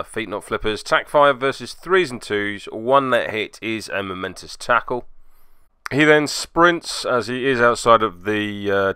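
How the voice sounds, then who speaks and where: A man's voice: on the low side, sharp tone, clean tone, no room sound, studio quality. A man giving wry, self-deprecating voice-over commentary on a tabletop game, with joking asides, as its host.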